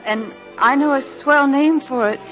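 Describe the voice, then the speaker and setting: A devoted young American wife in an old radio play. Her voice sits high, polite and sweet with a touch of anxiety. Faint music runs under the vintage broadcast. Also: unhurried, fairly bright tone, poor audio. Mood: tender. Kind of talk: 1940s American radio drama acting.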